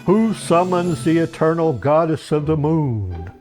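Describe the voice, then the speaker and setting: A man voicing an elderly moon goddess in a comic fantasy story, a grand yet brisk and businesslike grandmother. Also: on the high side, sing-song, a little mellow, faint music behind. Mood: imperious.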